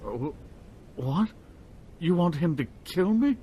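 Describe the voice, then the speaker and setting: A man's voice: a little high, lively intonation, takes it slowly, dark tone, slightly rough, full character voice. An actor playing a timid, pampered young nobleman who stammers and pleads, in a video game cutscene. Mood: flustered. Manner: flustered, hesitant and pleading.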